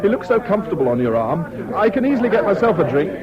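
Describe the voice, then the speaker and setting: A man's voice: high voice, big pitch swings, quick pace. An actor playing a reserved, sceptical young Englishman in a radio drama, speaking in a polite, clipped, questioning way. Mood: light, sociable. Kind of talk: 1970s British radio drama acting. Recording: muffled old radio broadcast, chattering crowd.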